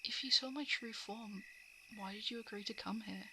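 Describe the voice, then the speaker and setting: A woman's voice: on the high side, moderate pace, natural voice. A woman playing a guarded, coolly predatory shapeshifting monster who eats hearts, in an ASMR roleplay monologue. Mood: dismissive, wistful. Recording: clear background noise of night insects, frogs. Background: crickets, frogs and night insect ambience.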